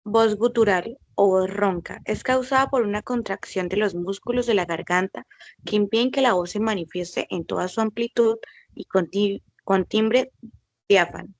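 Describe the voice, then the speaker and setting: A woman's voice: mid-range voice, normal speed, dry recording. A young woman student reading a class paragraph aloud, carefully and with slight hesitation. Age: young adult.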